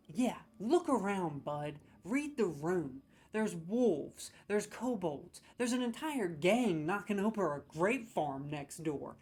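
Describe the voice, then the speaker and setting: A man's voice: high voice, darkish tone. A man hosting a comedic video, ranting sarcastically with mock outrage as he scores game voice lines.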